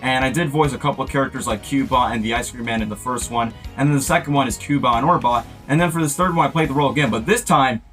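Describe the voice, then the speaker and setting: A young man hosting a casual reaction video in an excitable, chatty manner. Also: medium pitch, fast, few pauses, soft background music. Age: young adult. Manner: excitable, breaks into mimicked voices and shouts. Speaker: host, amateur voice actor.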